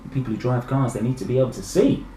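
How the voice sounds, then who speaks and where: A British man reading a picture book aloud to children, enthusiastic and expressive, chatting directly to his young listeners. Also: medium pitch, fast talker. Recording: echoey room with faint background noise.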